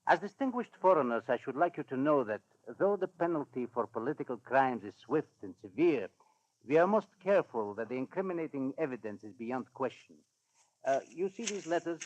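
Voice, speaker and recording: An actor playing a stern, smugly courteous Balkan government official in 1940s radio drama, speaking formally and with emphasis. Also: male voice, medium pitch, expressive, close to an everyday voice. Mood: smoothly formal. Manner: formal, emphatic, hesitant pauses. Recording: dull old radio recording.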